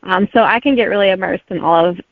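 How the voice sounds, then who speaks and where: A woman's voice: medium pitch, fast talker, no room sound. Giggly woman guest over a tinny line. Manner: friendly, giggly, lots of "um" and "like". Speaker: author guest. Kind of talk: casual interview answers. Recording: tinny phone-like remote line, dry.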